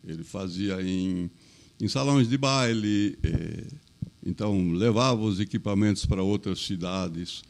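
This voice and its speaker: An older man, a podcast guest talking in a halting, plain-spoken way with many repeated phrases. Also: deep voice, gravelly, darkish tone. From Brazil. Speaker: podcast guest, business owner. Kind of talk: casual interview chat.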